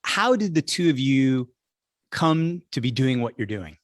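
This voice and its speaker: A man's voice: medium pitch, very animated, unhurried, dry recording, clean studio sound. A man hosting a podcast, delivering scripted introductions and interview questions.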